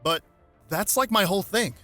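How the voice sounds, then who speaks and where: A protective young superhero , played by a man reading aloud, talks in a casual, streetwise, wary way. His voice is bright, with light music behind it. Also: sits high, lively intonation, normal speed, voice pushed up. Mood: unenthusiastic.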